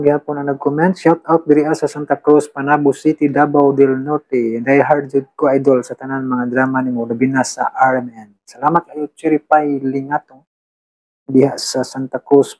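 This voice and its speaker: A man with a medium-pitched voice hosts in a casual, informal way. He keeps a fairly flat tone as he chats, often adding little tag questions. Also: low-quality recording. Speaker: channel host. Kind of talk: casual chat reading listener comments.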